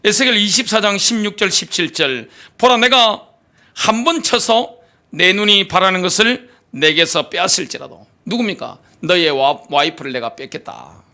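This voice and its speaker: Male preacher, sermon delivery, rhetorical questions, bright and lively pitch. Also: normal speed. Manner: animated preaching with rhetorical questions, reads scripture aloud. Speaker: pastor.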